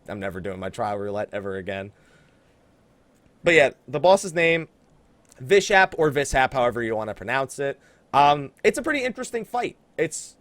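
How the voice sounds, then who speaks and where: A man's voice: mid-range voice, a bit bright, no echo. A man hosting a casual gaming podcast, talking conversationally with teasing emphasis. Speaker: podcast host.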